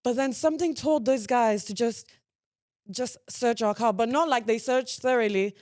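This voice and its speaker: A woman's voice: on the high side, moderate pace, no room sound, studio quality. A young woman from Nigeria, a podcast guest telling stories in casual chat and laughing often. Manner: laughs often, relaxed storytelling.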